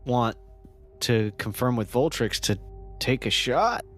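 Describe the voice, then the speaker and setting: A man's voice: medium pitch, animated, slow, a bit bright. A man chatting casually as a player in a tabletop role-playing game. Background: faint music.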